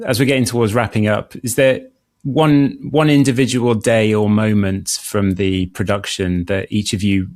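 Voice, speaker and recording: A man hosting an interview in a polite, measured and slightly hesitant manner. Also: on the low side, creaky, darkish tone. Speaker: host.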